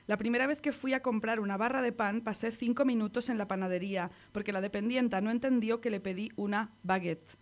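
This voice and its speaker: A woman from Spain with a Spanish accent, reading her own poem aloud on the radio in a measured, intimate way. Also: fairly high pitch, steady tone. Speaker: poet reading her work. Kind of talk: poetry reading.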